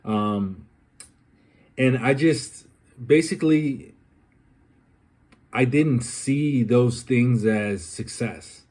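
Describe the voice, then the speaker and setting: A young man from Colombia talking through a personal, reflective vlog, pausing often with ums and uhs. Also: mid-range voice, a little mellow, husky. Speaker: vlogger.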